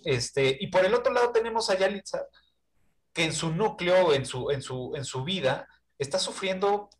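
Hesitant Mexican man with a higher voice. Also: animated, normal speed. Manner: hesitant, searching for words, often seeking agreement. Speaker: panelist in a film discussion. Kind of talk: casual film discussion.

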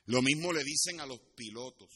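A male pastor with a Puerto Rican accent delivers a sermon in a bright, forceful voice. His pitch sits in the middle range for a man. He explains things like a teacher and sometimes slips into English. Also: a little fast, breathy edge. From Puerto Rico. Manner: didactic, switches into English asides. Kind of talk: sermon with Bible teaching and personal anecdotes.

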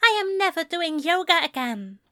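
A woman's voice: extremely high pitch, big pitch swings, moderate pace, bright, forceful tone, heavily acted. A woman voicing a fussy, prim male angel bookseller in an audio fan fiction drama, with wry, precise phrasing. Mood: indignant, sulky.

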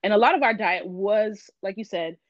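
Guest: woman from Miami, relaxed chatty delivery, dry lo-fi audio. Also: mid-range voice, normal speed, no echo. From Miami, Florida. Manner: relaxed, frequent ums and laughs. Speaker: guest, vegan business owner. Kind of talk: casual interview chat.

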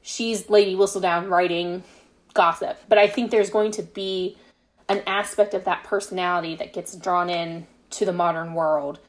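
A woman's voice: on the low side, fairly even intonation, moderate pace. A woman being interviewed as a guest author, talking casually and explaining things in a tentative, thinking-aloud way. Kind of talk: casual podcast interview chat.

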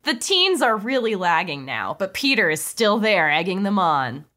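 A woman's voice: mid-range voice, big pitch swings, normal speed, bright, forceful tone. A woman reading stage directions in an amused way as the narrator of a comedic script table read.

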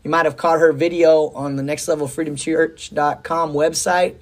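A hoarse middle-aged man, a pastor co-hosting a podcast, talking in a rambling, folksy and enthusiastic way about faith and church news. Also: fairly high pitch, little pitch movement, normal speed. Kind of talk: casual faith talk.

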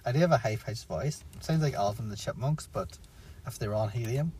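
A man's voice: medium pitch, animated, a little fast, a little mellow, slight background noise. A man co-hosting a casual podcast, teasing his friend and laughing often. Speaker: podcast co-host.

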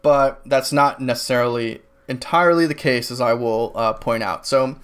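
A man's voice: mid-range voice, moderate pace, a bit bright, clean tone. A man co-hosting a casual political discussion podcast, rambling with many fillers and restarts. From New England, United States. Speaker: podcast co-host.

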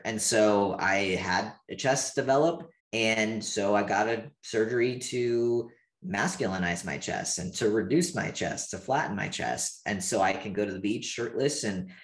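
A man in his thirties, an interview guest speaking candidly and openly, with lots of casual fillers. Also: lowish voice. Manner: open, animated, frequent "like" fillers. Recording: echoey room.

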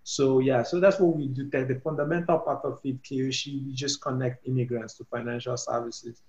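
A man's voice: medium pitch, fairly even intonation, moderate pace, a little mellow, echoey room, highs cut off. A man in his twenties from Nigeria, a guest on an interview podcast, speaking hesitantly with many ums and restarts. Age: 20s. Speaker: guest, physics PhD student and startup co-founder.

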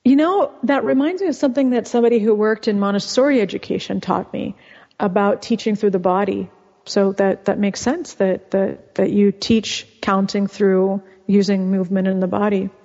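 A woman's voice: medium pitch, fairly even intonation, moderate pace, creaky, mellow. A woman guest and author whose first language is Russian, explaining things in a chatty, casual interview manner with frequent restarts. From California. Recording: muffled, with long reverb.

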